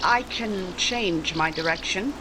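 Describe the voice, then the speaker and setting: A woman playing a proud, spirited young daughter in 1940s radio romance style, polite but crisp and pointed. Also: mid-range voice, slow, heavy background noise, lightly acted. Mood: guarded.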